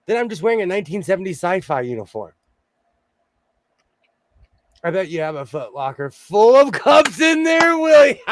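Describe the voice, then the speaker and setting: Hoarse joking man on a livestream. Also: high voice, bright, forceful tone, no echo. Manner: jokey, laughs often, reads chat aloud. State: hoarse, losing his voice. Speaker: sewing livestream host. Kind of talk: casual livestream chat.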